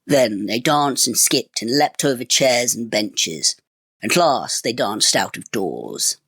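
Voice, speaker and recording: A male storyteller reads scripted fairy-tale narration. His mid-pitched voice moves up and down with plenty of expression, phrased in measured steps, and the sound is dry and close. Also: very animated, vocal fry, a bit bright, breathy edge, dry recording.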